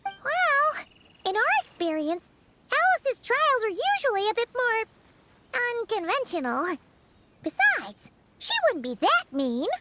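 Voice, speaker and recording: A woman's voice: extremely high pitch, huge pitch swings, takes it slowly, very noisy, exaggerated voice. A woman voicing a friendly, eager, childlike girl adventurer in an anime-style video game, cheerful and encouraging. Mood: curious.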